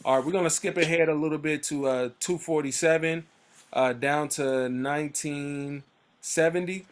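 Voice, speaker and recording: A man's voice: a little high, fairly even intonation, normal speed, clean tone. A man leading a book club over a video call, reading timeline entries aloud and commenting on them in a loose, conversational way with frequent fillers and restarts. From Oakland area, US. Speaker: book club discussion leader.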